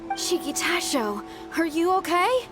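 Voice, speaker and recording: A woman's voice: extremely high pitch, very animated, a little slow, over music, exaggerated voice. A woman playing a plucky, loyal young rock musician in a video game, with an earnest, spirited manner. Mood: alarmed.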